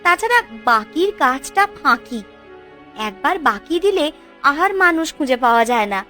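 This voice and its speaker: A woman's voice: high-pitched, sing-song, moderate pace, pushed and bright, faint music behind, exaggerated voice. A woman voicing a practical village wife who keeps shop in a cartoon, chatty, polite but firm. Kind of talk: Bengali cartoon dubbing.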